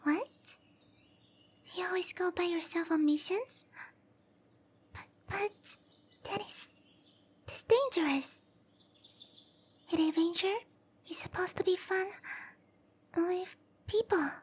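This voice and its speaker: A tiny young cowgirl monster girl speaks in a very high, girlish voice at a slow, careful pace. She is shy and apologetic, with soft, breathy hesitations, in the intimate style of anime roleplay ASMR. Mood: worried, earnest.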